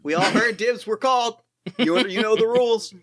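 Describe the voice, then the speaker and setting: A man's voice: sits high, normal speed, a bit bright, dry recording, studio quality, voice pushed up. A man playing a swaggering mercenary squad leader. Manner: breezy, showy, theatrical.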